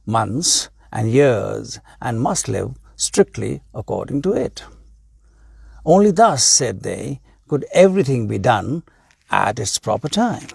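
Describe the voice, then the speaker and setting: Male storyteller. Raspy, slow delivery with deliberate pauses, reading a scripted tale aloud. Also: mid-range voice, darkish tone, no room sound, clean studio sound. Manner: deliberate, with pauses between short phrases.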